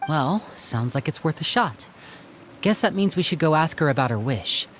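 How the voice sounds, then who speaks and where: A man playing a young, protective, composed older brother in a video game, talking in a measured, theatrical way. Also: on the high side, animated, fairly bright tone, slight background noise. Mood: resolute. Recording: music playing behind the voice.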